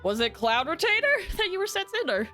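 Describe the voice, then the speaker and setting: A woman streamer chats casually over a game in a high, bright voice with very animated intonation. Her reactions are exclamatory and gushing. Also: brisk. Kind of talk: casual live game commentary. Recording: game music behind the voice.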